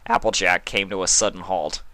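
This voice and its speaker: A man's voice: low-pitched, fairly even intonation, brisk, sharp tone, no echo. A man reading scripted fan fiction aloud as a story narrator.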